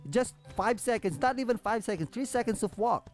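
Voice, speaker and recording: A man's voice: high-pitched, a little fast. A man hosting a gaming video with casual, off-the-cuff commentary, swearing in an exasperated, sarcastic way. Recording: music behind the voice.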